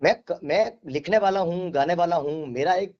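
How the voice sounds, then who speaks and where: A man with a somewhat high voice answers in a phone interview. He is emphatic and defensive, repeating phrases to press his point. The call recording is dull and lo-fi. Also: normal speed, darkish tone. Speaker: devotional singer being interviewed. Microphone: telephone.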